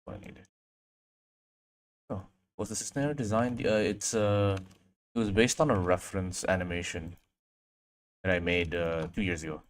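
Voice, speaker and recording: A man's voice: deep voice, fairly even intonation, normal speed, pauses often. A young man from Indonesia, chatting casually on a livestream while he works, often mumbling to himself and trailing off. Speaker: streamer.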